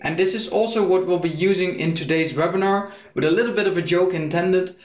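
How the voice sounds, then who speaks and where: A young man with a Dutch accent, presenting a software webinar in an explanatory way with frequent fillers. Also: sits high, fairly quick. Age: young adult. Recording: echoey muffled lo-fi audio.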